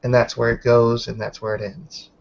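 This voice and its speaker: A man's voice: mid-range voice, flat delivery, moderate pace, vocal fry, natural voice. A man roleplaying a guarded female werewolf newcomer in a tabletop game, choosing formal words with careful hesitations. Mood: measured, cautioning. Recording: dull lo-fi voice recording.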